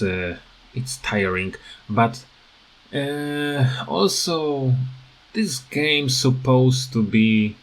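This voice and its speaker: A man giving unscripted, rambling commentary as a gaming video creator, halting often as he searches for words. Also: fairly low pitch, rough recording.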